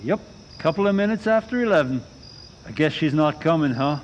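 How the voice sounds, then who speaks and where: An actor playing a chatty, deferential studio gate guard in an old-time radio drama. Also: male voice, on the high side, animated, a little fast, slight background noise, close to an everyday voice. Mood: easygoing.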